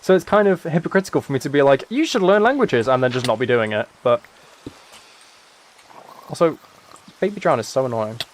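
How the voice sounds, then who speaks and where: A young British man chatting casually as he streams a game, rambling and thinking out loud as he goes. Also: mid-range voice, expressive, a little fast, long pauses, slight background noise. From United Kingdom. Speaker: live streamer.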